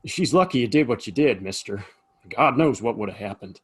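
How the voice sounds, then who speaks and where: A man's voice: medium pitch, lively intonation, brisk, slightly rough. A man acting a plain-spoken, weary saloon keeper in a western audio play, folksy and straight-talking. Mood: grateful, weary.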